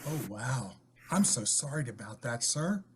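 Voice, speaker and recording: A man's voice: mid-range voice, very animated, unhurried. A man playing a chatty, earnest, plain-spoken young man in a radio play. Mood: sympathetic. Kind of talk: old-time radio play acting.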